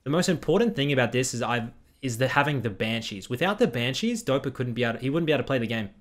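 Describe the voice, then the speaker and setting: A man's voice: mid-range voice, lively intonation. A young man commentating a game replay in casual, blunt style, recorded at home on a headset. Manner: blunt, critical, quick asides. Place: small room at home.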